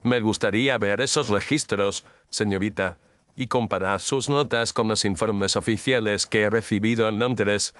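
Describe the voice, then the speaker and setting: A man playing a courteous, precise Victorian gentleman detective in an audio story, with formal, measured phrasing. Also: lowish voice, fairly even intonation, no room sound, close to an everyday voice. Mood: probing, polite. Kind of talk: audio story character dialogue.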